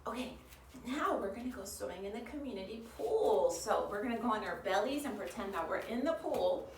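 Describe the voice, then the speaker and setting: A woman's voice: mid-range voice, expressive, a little fast. A woman leading a children's yoga storytime, giving simple, encouraging instructions to young children. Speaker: kids' yoga and storytime teacher. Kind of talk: guided children's yoga lesson. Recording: roomy, distant mic, faint background noise.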